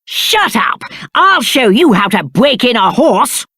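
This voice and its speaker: A man's voice: extremely high pitch, big pitch swings, slow, sharp tone, breathy edge, no echo, studio quality, heavily acted. A male actor playing a spoiled, cowardly young prince in a video game, with a British accent and haughty, whiny, aristocratic diction. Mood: furious, shrill. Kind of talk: theatrical video game character acting.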